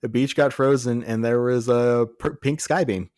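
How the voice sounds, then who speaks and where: A man's voice: mid-range voice, steady tone, normal speed, a little mellow, no echo. Rambling, sardonic man on a dry-sounding podcast. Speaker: podcast co-host. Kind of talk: casual movie podcast chat.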